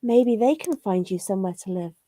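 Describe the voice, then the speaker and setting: A woman's voice: mid-range voice, brisk, dark tone, no echo, close to an everyday voice. A woman voicing a friendly female kitten in a children's storybook, inviting and helpful.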